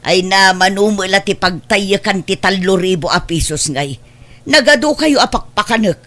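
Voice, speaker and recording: A woman's voice: mid-range voice, normal speed, a bit bright, natural voice. A woman with an Ilocano accent playing a complaining, money-grasping middle-aged aunt in an audio drama. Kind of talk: Ilocano radio-style drama acting.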